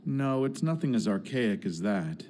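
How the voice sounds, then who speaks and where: A man playing a composed, polite and serious high school student in an English anime dub. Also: very animated, a little slow, slight background noise. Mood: calm, grave. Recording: loud music under the voice.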